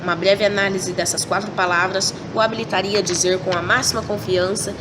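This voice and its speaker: A woman playing a sharp, commanding male detective in an amateur school radio drama, laying out his deductions methodically. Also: medium pitch, steady tone, fairly quick, lightly acted. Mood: explaining. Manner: lecturing, methodical explanation. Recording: distant mic with loud noise.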